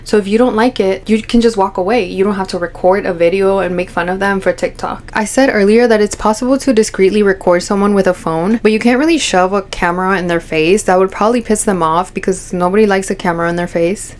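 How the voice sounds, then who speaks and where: Young woman ranting about stuff, sarcastic asides, mid-pitched voice. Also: a little fast, few pauses, darkish tone. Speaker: commentary YouTuber. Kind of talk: casual opinion commentary.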